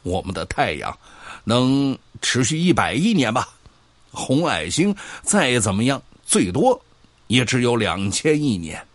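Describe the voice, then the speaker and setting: A man's voice: medium pitch, very animated, unhurried, dry recording. An actor playing an argumentative, pedantic man who scoffs and lectures his old friend in a sci-fi audio drama. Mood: emphatic, lecturing.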